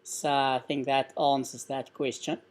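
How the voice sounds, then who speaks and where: Male host, Afrikaans accent, mid pitch, casual live-stream Q&A, explains patiently with fillers. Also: level tone, unhurried. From South Africa. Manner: conversational, explanatory, with frequent fillers.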